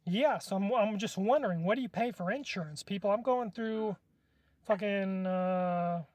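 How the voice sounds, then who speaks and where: A man's voice: sits high, normal speed, darkish tone, dry recording. A man on a live stream, chatting casually and hesitantly, often restarting his words. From California.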